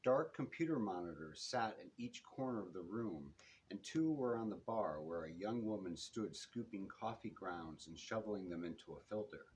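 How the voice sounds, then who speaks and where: Low-voiced man reading a novel aloud. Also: lively intonation, moderate pace, darkish tone, slight background noise, low-quality recording. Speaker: author reading own novel. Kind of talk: audiobook-style reading of first-person detective fiction. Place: live reading in a bookshop.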